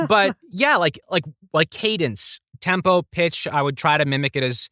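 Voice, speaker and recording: Podcast guest: male, bright tone, conversational and thoughtful, recorded dry. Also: fairly high pitch, expressive, normal speed, no room sound. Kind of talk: casual interview chat.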